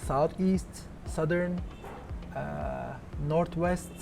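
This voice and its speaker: A man with a Turkish accent talks about his home city in simple, halting English. He pauses often, restarts sentences and searches for the right words. Music plays clearly behind him. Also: on the high side, a little mellow. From Turkey, Antalya. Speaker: local guest. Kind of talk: casual interview answers.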